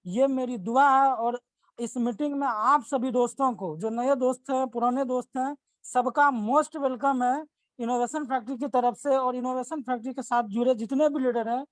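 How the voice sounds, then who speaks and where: A man from Bihar opens an online meeting with a formal welcome. His voice sits high and sounds dry and close, with no room around it. He strings long, winding sentences together. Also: moderate pace, no echo. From Bihar, India. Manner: long winding sentences, effusive praise of the guest. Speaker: meeting host and promoter. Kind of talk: formal welcome speech on a video call. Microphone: video call microphone.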